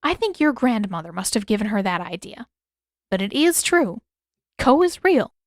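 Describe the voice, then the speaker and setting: A woman voicing an older mother, shrewd and unyielding, with a measured, matter-of-fact way of talking. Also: medium pitch, big pitch swings, no room sound, studio quality.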